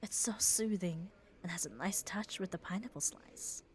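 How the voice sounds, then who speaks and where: A woman playing a muscular, devoted, gently dominant yandere girlfriend in an ASMR roleplay, speaking tenderly and soothingly and often dropping to a whisper. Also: on the low side, normal speed, a little hiss or hum, natural voice. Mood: playful, doting. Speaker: ASMR voice performer. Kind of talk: ASMR roleplay, soft intimate acting.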